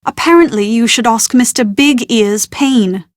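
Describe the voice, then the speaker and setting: An actress playing a chatty, anxious young British woman in a video game, with a posh, expressive and wordy way of talking. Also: a little high, measured pace, dry recording, clean studio sound, close to an everyday voice. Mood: wry, brisk. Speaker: voice actor in an adventure game. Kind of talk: scripted video game character dialogue.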